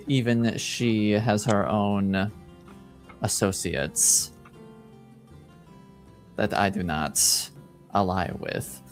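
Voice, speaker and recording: Roleplaying game nobleman, male player: reserved and coolly formal, slightly deep, very slow delivery. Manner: measured, formal, deliberate.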